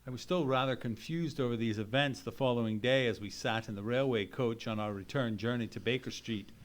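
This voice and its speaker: An actor playing an earnest gentleman sidekick who tells a mystery in a formal, dramatic radio-play style. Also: medium pitch, brisk, slight background noise, lightly acted. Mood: earnest, puzzled. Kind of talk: dramatic narration in old-time radio play.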